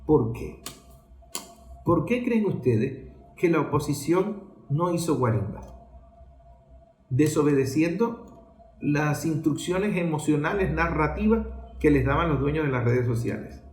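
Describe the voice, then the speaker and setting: A man's voice: a little high, expressive, unhurried, long pauses, darkish tone. A man with a Venezuelan accent giving political commentary as a speech, posing rhetorical questions and answering them. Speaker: journalist giving a political talk. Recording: echoey room.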